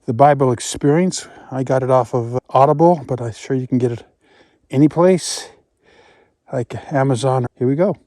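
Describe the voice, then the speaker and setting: A man's voice: mid-range voice, normal speed, dark tone, no echo, studio quality. A man chatting casually as the host of a walking Bible study vlog.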